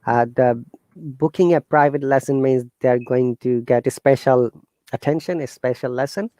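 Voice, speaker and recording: Bangladeshi-accented man, earnest and halting. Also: medium pitch, no room sound. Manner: earnest, animated, halting with fillers and restarts. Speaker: guest teacher. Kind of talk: casual interview talk.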